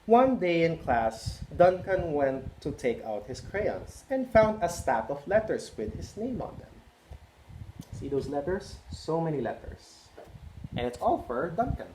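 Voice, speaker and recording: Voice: mid-range voice, sing-song, measured pace. Chatty children's book reader. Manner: gentle, chatty, asks children questions. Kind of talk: read-aloud for kids. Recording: roomy echo with faint noise.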